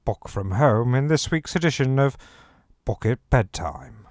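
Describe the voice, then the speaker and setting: Clear-voiced male radio announcer. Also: medium pitch, lively intonation, a little slow, dry recording, clean studio sound. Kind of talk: scripted programme introduction.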